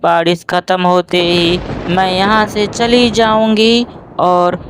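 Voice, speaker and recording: Small female cartoon bird, played by a man: high, slow, polite and imploring, over noisy background. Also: flat delivery. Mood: pleading. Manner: pleading, polite.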